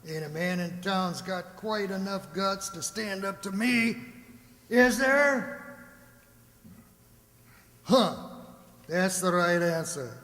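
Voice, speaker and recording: An actor playing a boastful, hard-drinking frontier tavern bully in a radio drama, with coarse, folksy, swaggering speech. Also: male voice, sits high, slow, pauses often, a bit bright. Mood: belligerent, boastful. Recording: echoey hall, reverberant room.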